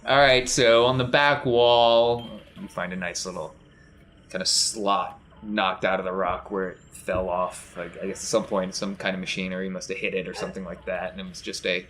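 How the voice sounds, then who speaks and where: Low-voiced man as game master. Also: steady tone, normal speed, a bit bright, slight background noise. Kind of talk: improvised narration of a tabletop game.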